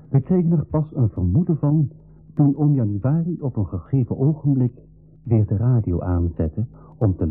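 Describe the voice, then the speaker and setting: A dull old radio play recording with music faintly behind. an eager young adventurer telling his tale, earnest and slightly husky, with very lively swings of pitch. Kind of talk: dramatic storytelling in radio play.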